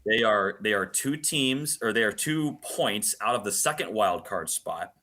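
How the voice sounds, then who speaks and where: Guy doing sports radio analysis, lowish voice, really bright and forceful, explains stuff in detail. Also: lively intonation, unhurried. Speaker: play-by-play announcer as guest analyst.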